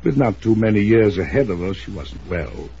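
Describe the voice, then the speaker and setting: An actor playing a pompous, controlling older uncle in 1950s radio drama style, short-tempered and given to formal, blustering speech. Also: male voice, on the low side, sing-song, moderate pace, creaky, dark tone. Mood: stiff, dignified. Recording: muffled hissy old radio recording.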